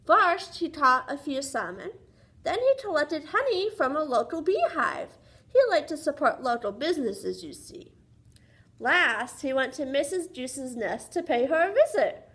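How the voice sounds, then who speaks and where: A woman's voice: high voice, sing-song, measured pace, a bit bright. A woman reading a picture book aloud to young children as a teacher would, sing-song and often prompting them with questions.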